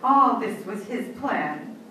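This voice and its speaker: A woman playing a cold, vengeful schemer in an old-time radio melodrama, scornful and deliberate. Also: mid-range voice, expressive. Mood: bitter, vengeful. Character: cold, vengeful woman who double-crosses a judge. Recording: echoey, distant stage recording, faint noise.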